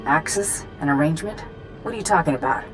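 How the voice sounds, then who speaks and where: A woman's voice: low-pitched, animated, fast talker, rough recording. A tough lady bounty hunter in a game, blunt, doubtful, short lines, music in the back. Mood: skeptical. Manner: blunt, skeptical. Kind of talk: video game cutscene acting.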